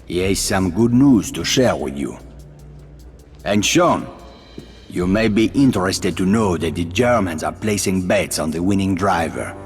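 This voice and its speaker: An actor playing a suave, eloquent French resistance leader in a video game, theatrical, with a French accent. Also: male voice, deep voice, lively intonation, measured pace, in a large hall, faint music behind. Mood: confident, sly. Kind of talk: cinematic video game acting.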